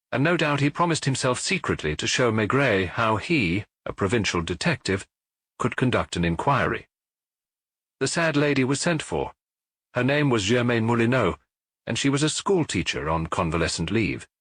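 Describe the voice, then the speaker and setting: Expressive low-voiced British male narrator. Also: normal speed, slightly rough, dry recording. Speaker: audiobook narrator. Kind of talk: scripted literary narration of a detective story.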